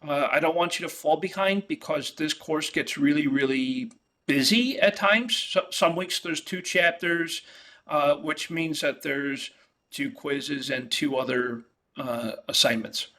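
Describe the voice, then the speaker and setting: Male professor, New York accent, Italian first language, bright and fairly high voice, stop-start virtual lecture over a call. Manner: halting, many fillers and restarts. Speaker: economics professor. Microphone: video call.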